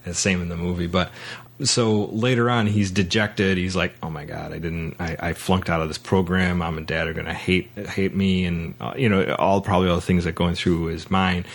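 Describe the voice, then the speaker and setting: A man with a low-pitched voice speaks as a podcast host. His delivery is casual and conversational, rambling a little and halting as he pieces his thoughts together. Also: steady tone. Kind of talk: casual film discussion.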